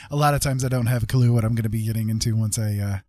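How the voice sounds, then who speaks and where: A man's voice: mid-range voice, fast, a little mellow, dry recording. A man chatting casually and laid-back on a livestream, thinking aloud as he paints. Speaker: streamer, digital painter. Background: piano music.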